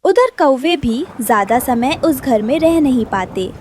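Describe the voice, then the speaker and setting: Female cartoon narrator, high voice, lively intonation, scripted storytelling, noisy background. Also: unhurried.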